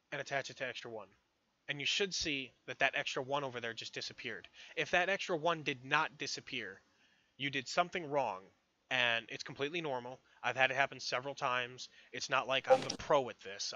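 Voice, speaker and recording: Brief: hoarse man, bright tone, offhand tutorial commentary full of swearing. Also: medium pitch, highs cut off. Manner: rambling, profane, offhand. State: losing his voice, hoarse. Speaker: modding tutorial streamer. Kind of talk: casual screen-recorded tutorial commentary.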